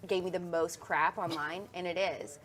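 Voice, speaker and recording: A woman's voice: fairly low pitch, fairly even intonation, moderate pace, fairly bright tone, slight background noise. A woman panelist on a live talk show, chatty and emphatic, using many fillers.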